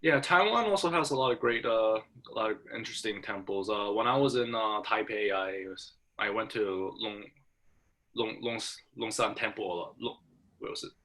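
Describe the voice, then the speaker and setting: A young man from Hong Kong talking in a relaxed back-and-forth with his professor. His voice sits a little low but comes across bright and forceful. He stumbles through many restarts and fillers. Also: moderate pace, rough recording. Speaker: student. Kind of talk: casual conversation.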